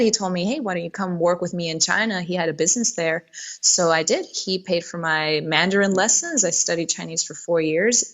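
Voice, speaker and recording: Guest, young woman from Spain, Spanish first language, relaxed, lowish voice. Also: rough recording. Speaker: guest, event planner. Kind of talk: casual interview answers.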